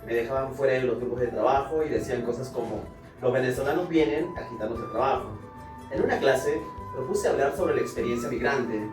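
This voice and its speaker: A young man reading a case study aloud to a student group in a steady way. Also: medium pitch. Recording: distant echoey room with music.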